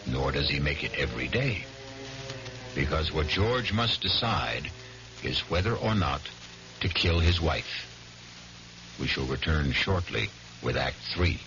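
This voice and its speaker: A man narrating as host of a mystery radio show, measured, ominous and dramatic. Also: a bass voice, lively intonation. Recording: muffled vintage radio with music.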